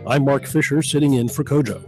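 A man's voice: mid-range voice, brisk, loud music behind the voice. A middle-aged man hosting a radio interview show in a studio, with a polished broadcast delivery. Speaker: guest radio host. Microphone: studio microphone, headphones.

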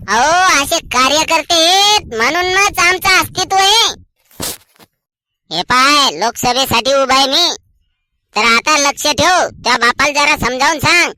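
A slick, self-serving cartoon politician acted by a man, high-pitched and bright. He talks in flattery and big promises, always selling himself. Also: a little hiss or hum, full character voice, poor audio. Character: smooth-talking, self-serving local election candidate. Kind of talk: cartoon comedy voice acting.

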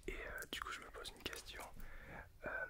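A young man speaks very quietly in a whisper, hosting a casual interview. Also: normal speed. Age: young adult. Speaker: host. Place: small indoor studio with sofa. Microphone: shared desktop condenser microphone.

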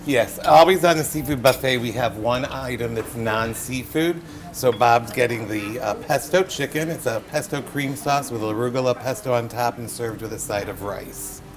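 A man's voice: medium pitch, moderate pace, hardly any pauses, music in the background. An older man serving at a restaurant, describing dishes briefly and politely on a patio. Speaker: restaurant server. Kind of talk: explaining dishes.

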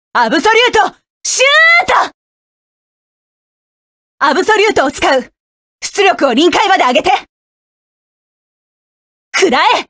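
An actress voicing a fierce, proud young woman mecha pilot who barks combative battle shouts. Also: very high voice, no echo, clean studio sound, full character voice. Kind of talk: game battle voice lines.

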